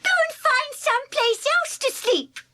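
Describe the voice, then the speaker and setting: A woman's voice: extremely high pitch, a little slow, pushed and bright, no room sound, clean studio sound, voice pushed up, full character voice. A woman voicing cross little woodland animals in a children's storybook, scolding sharply.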